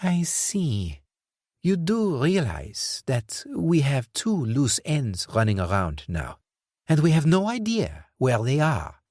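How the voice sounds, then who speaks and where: A man voicing a menacing, sarcastic male crime boss who questions slowly and pointedly. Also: a little high, huge pitch swings, darkish tone, breathy edge, dry recording, clean studio sound, pitched above the performer's own voice, heavily acted. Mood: sneering, cold. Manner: slow, pointed, mocking questioning.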